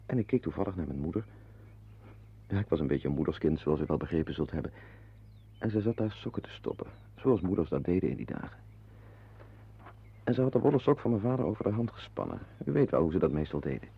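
A man's voice: sits low, breathy edge, full character voice. An actor playing a wry, brooding henpecked husband in a Dutch radio play, confiding his musings to the listener. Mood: nostalgic. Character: wry, brooding henpecked husband, animal-loving former artist. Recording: muffled, band-limited old radio play recording.

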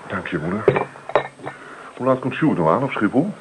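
Deep-voiced young farmer's son. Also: male voice, sing-song, moderate pace. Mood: easygoing. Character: easygoing, plain-spoken grown farmer's son. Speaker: actor. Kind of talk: Dutch radio play acting. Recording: noisy old radio drama recording.

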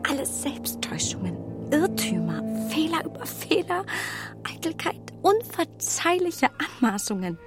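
An actress playing a proud, self-reproaching young gentlewoman in a radio play, with emotional, deliberate phrasing. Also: high-pitched, very animated, full character voice. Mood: self-reproachful. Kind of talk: radio play acting, inner monologue. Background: music.

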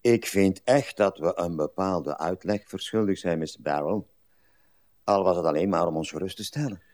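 An actor plays a courteous gentleman in a radio drama with a deep, low voice. He speaks formally and steadily, reassuring others while politely holding his ground. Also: normal speed, lightly acted. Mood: politely insistent. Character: courteous, steady gentleman, soothing but insistent. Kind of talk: radio play acting.

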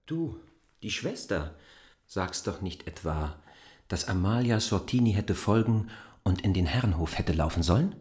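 An actor in a radio play playing a disbelieving male outsider, speaking in halting phrases. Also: deep voice, expressive, a little mellow. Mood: incredulous. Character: incredulous male outsider challenging a woman's account. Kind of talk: German radio play acting.

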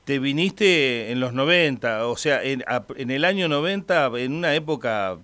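A man with an Argentine accent hosting a radio interview in a friendly, halting way, full of fillers. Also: mid-range voice, lively intonation, a bit bright. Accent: Argentine Spanish. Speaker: radio host.

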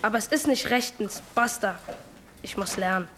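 A woman's voice: mid-range voice, lively intonation, moderate pace, a bit bright, slight background noise, lightly acted. A female-sounding performer playing a blunt, defiant, proud schoolboy son in a German radio drama.

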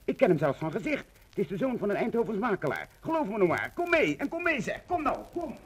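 An actor playing an excitable, insistent husband in a 1950s radio drama, husky, eager and theatrical in his urging. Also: high-pitched, quick pace, exaggerated voice. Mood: excited, insistent.

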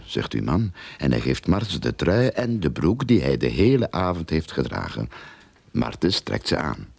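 A cocky Flemish private eye in a radio play. His voice is low and husky, and it swings widely as he probes and explains with sardonic self-assurance. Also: darkish tone, no echo, heavily acted. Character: cocky, sardonic male private detective who theorizes boldly. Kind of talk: radio drama acting.

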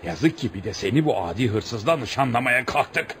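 An actor playing a stern, scornful tribesman in a radio play, scolding in a forceful way. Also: medium pitch, lively intonation, normal speed, close to an everyday voice. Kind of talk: Turkish radio theatre acting. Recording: noisy old radio drama recording.

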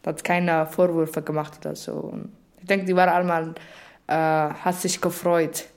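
A woman's voice: lowish voice, flat delivery, moderate pace, a little mellow. A woman speaking earnestly in casual German, retelling a story and giving her views while searching for words. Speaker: participant sharing views. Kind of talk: casual spoken retelling and opinion.

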